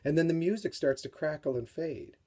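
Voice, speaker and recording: A middle-aged man giving an author's talk and read-aloud for young readers, telling it in an animated, dramatic storyteller's manner. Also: fairly high pitch, a little fast. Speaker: children's author reading from his book.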